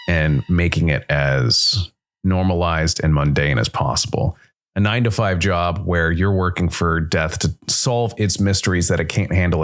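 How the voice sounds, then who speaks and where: A man hosting a tabletop gaming show, explaining how a game works in a measured, informal review style. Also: a bass voice. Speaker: solo RPG show host.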